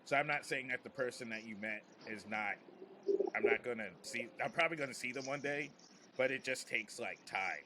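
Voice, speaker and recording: A man's voice: medium pitch, a little fast, noisy background. A man who works as a comedian, hosting a casual podcast chat in a rambling, joking, slangy way.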